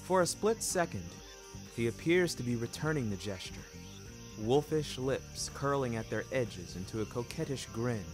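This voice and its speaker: A man narrates a dramatic audio story in the first person. His pace is slow and his mid-range voice is precise and theatrical, savouring ornate phrasing over a clear music bed. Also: mid-range voice. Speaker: narrator of a fiction podcast.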